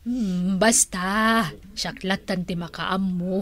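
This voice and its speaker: Lovestruck, quick-tempered Ilocano radio drama auntie; sharp bright tone, unhurried, big theatrical exclamations. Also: female voice, mid-range voice, fairly even intonation. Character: smitten, hot-tempered auntie visiting a jailed man.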